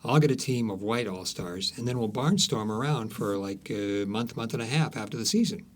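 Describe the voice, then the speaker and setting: A man's voice: fairly low pitch, moderate pace. A man speaking as an author being interviewed, telling stories conversationally with frequent false starts and repeated words.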